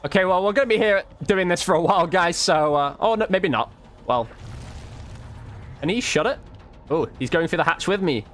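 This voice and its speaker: Male, gaming video host, slightly high voice, casual commentary, chatty, informal, talks to viewers. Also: lively intonation, moderate pace, pauses often, a bit bright, faint music behind.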